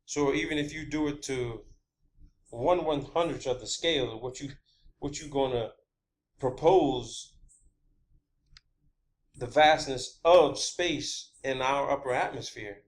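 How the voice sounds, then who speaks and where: A man's voice: medium pitch, unhurried, pauses often. A man hosting his own video channel, talking off the cuff in an emphatic, explanatory monologue and repeating phrases for stress.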